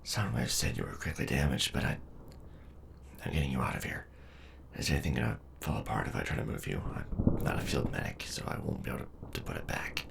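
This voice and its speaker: A man playing a gruff, loyal, war-weary Decepticon robot soldier in an ASMR audio drama, with a deep gravelly voice. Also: very animated, fast talker, background noise, heavily acted. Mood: concerned.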